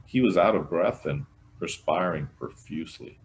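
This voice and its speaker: A man with an extremely low voice reads a mystery story aloud. He narrates from a written text as a volunteer reader. The recording has clear background noise. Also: normal speed, poor audio. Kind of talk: scripted read-aloud of a mystery novel.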